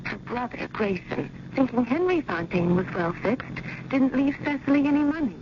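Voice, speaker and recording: Eager, high-voiced young American woman. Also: animated, moderate pace. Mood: pitying. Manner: breezy, dramatic, insistent. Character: eager, persuasive engaged young woman, cousin of a curator. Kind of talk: 1970s radio drama acting. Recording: dull, noisy old radio broadcast.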